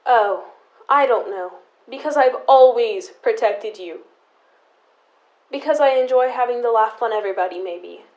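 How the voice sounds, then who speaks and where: A young woman acts as a stubborn, protective sister in a radio play. Her fairly high voice is deliberate and dramatic, carrying long-held resentment. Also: lo-fi sound, lightly acted. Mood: wry. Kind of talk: high school audio drama acting.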